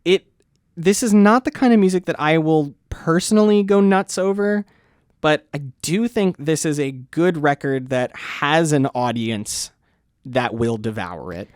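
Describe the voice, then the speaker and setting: A man's voice: fairly high pitch, unhurried, darkish tone, no room sound, studio quality. A man co-hosting a college radio music review, talking in a loose, rambling way with frequent restarts and casual swearing. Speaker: co-host of a music review show.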